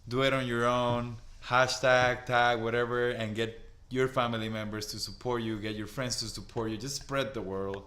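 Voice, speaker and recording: A man's voice: medium pitch, level tone, a bit bright. Earnest Colombian-born man, echoey room. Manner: earnest, halting, repeats words. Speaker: podcast guest. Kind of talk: casual conversation.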